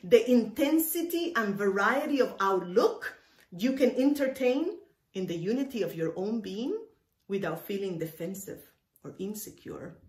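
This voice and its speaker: A woman's voice: mid-range voice, animated, a little mellow. Emphatic Cuban-born woman preaching, roomy sound. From Cuba. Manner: emphatic, deliberate phrasing with repetition for weight. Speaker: minister. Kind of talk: sermon. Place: indoor room with bookshelves, speaking to camera. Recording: roomy, echoey indoor sound.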